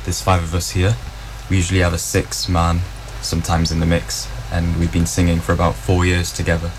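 A twenty-year-old man answering interview questions about his singing group in a calm, matter-of-fact way. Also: low-pitched, brisk, noisy background. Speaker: vocal group arranger.

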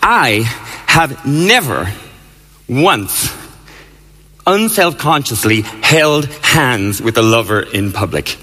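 A man in his forties giving a personal talk to an audience, deliberate and emphatic, with dramatic pauses. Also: medium pitch, sing-song, pushed and bright, slightly rough. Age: 40s. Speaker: public speaker. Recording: echoey hall reverb.

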